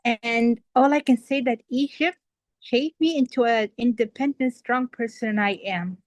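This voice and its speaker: A woman's voice: fairly high pitch, a little slow, no room sound. A young woman from Kuwait giving an earnest impromptu speech that addresses the audience directly. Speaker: impromptu speaker. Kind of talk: impromptu table topics speech.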